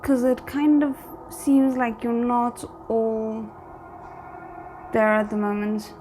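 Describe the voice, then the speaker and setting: A woman's voice: fairly high pitch, little pitch movement, takes it slowly, dark tone, a little hiss or hum, rough recording. A woman hosting a reaction video, talking casually and thinking aloud as she comments.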